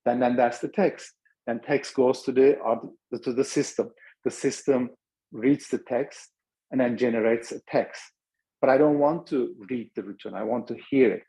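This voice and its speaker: A man's voice: medium pitch, moderate pace, mellow, slightly rough, rough recording. A middle-aged man with a Turkish accent giving a webinar talk, thinking aloud with many restarts. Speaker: startup founder presenting.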